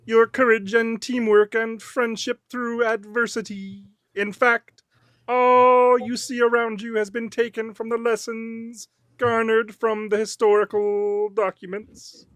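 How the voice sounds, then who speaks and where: A woman's voice: fairly high pitch, flat delivery, measured pace, fairly bright tone, dry recording. A woman playing an earnest, courteous male alien leader in a radio theatre read, speaking formally and ceremoniously. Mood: solemn gratitude.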